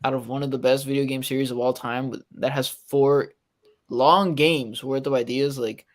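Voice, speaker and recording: A man's voice: mid-range voice, fairly even intonation, moderate pace. A man co-hosting a podcast, giving casual, emphatic and exasperated opinions on films. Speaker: podcast co-host. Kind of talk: casual film review chat.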